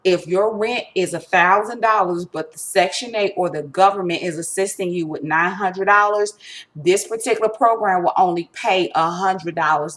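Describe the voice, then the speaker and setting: A woman presenting an informal step-by-step tutorial, instructive and emphatic, often checking that listeners follow. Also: lowish voice. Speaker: resource center presenter giving a tutorial.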